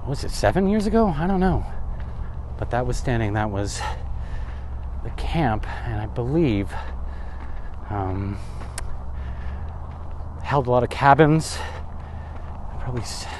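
A man's voice: mid-range voice, big pitch swings, slow pace, long pauses, darkish tone, slight background noise. A man in his thirties vlogging a walking tour outdoors, chatting casually with wry asides and asking himself questions. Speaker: travel vlogger. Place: outdoors in open desert. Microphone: camera or phone mic, handheld.

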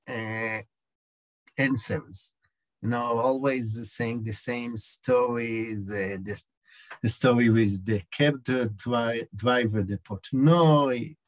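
Slow halting Israeli-accented man. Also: mid-range voice, dry recording, low-quality recording. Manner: halting, searching for words, many fillers. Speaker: film director. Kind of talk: video call interview. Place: small room indoors. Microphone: video call.

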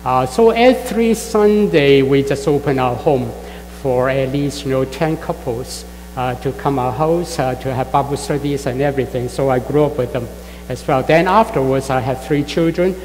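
Man, Chinese accent, Fujian native speaker, middle-aged, guest preacher, halting with many fillers, long church reverb. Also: mid-range voice, a little mellow, soft background music. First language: Fujian (Min Chinese). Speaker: guest pastor. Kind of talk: sermon introduction to a congregation. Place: church sanctuary.

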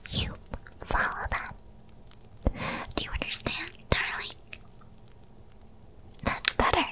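A woman voicing a possessive, lovestruck young witch girlfriend in an ASMR roleplay, in a breathy, cooing and controlling manner. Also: slow, pushed and bright, rough recording. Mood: stern, possessive.

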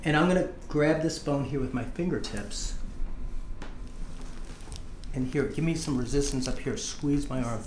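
A man's voice: medium pitch, moderate pace, pauses often, a little mellow. A man in his fifties, a chiropractor, casually explaining hands-on treatment to a patient as he works. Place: small treatment office, indoors. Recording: echoey room, background noise.

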